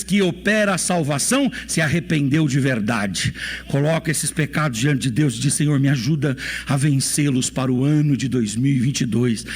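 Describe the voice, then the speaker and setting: A Brazilian man in his forties preaches in a church, asking the congregation question after question. His voice sits high for a man and swings widely in pitch. He repeats his key phrases again and again. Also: a bit bright. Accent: Brazilian Portuguese. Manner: preaching with rhetorical questions and repetition. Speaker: pastor. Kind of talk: sermon.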